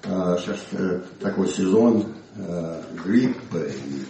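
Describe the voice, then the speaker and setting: An older man, an English speaker, presenting his book in Russian with a halting manner, recovering from a cold. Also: sits low, animated, gravelly, dark tone, a little hiss or hum. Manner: halting, many hesitations and restarts. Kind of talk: informal presentation speech. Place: museum hall. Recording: echoey hall, from far off.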